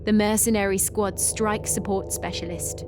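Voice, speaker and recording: A woman's voice: mid-range voice, steady tone, normal speed, over music. A woman reading scripted story narration as the narrator.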